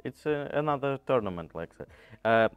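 A man's voice: medium pitch, animated, a little fast, clear, resonant tone, phone-quality sound. A man in his thirties from Latvia, a native Latvian speaker, hosting an interview in hesitant, filler-heavy English. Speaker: podcast host. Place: dark studio set with red armchairs.

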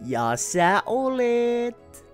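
An excitable, naive little cartoon snowman, played by a woman with a high voice. He chatters in childlike exclamations that swoop wildly up and down, over faint music. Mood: cheerfully naive. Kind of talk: animated film fan dub.

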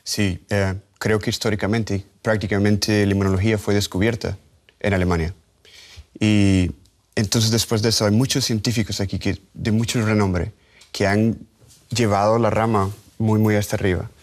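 A man in his thirties, Honduran-born with English as his first language, speaking with a half-American Honduran accent as a scientist guest in a TV studio interview, talking haltingly with restarts. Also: on the low side, level tone. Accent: Honduran Spanish with American English. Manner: halting, restarts words, gestures while talking. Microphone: lapel.

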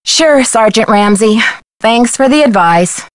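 Game heroine: young American female detective, fairly high-pitched, determined, articulate, dryly recorded. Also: animated, measured pace, no echo. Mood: cool, polite. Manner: insistent, polite, clearly spoken. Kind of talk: video game voice acting.

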